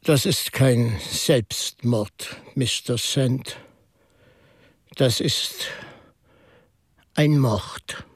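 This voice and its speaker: An actor in a German radio drama playing a mild, shrewd priest-detective who reasons in a measured, reflective way. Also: male voice, mid-range voice. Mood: grave, deliberate.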